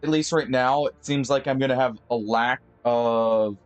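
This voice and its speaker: A man streaming a game live, thinking aloud with pauses and fillers and chatting to his viewers. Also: mid-range voice, fairly even intonation, smooth, lo-fi sound. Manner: friendly sign-off to viewers. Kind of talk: live gameplay commentary.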